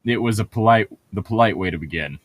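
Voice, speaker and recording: A man's voice: lowish voice, fast, dry recording. A man co-hosting a comedic read-along, reading a book aloud with casual, irreverent, sweary banter.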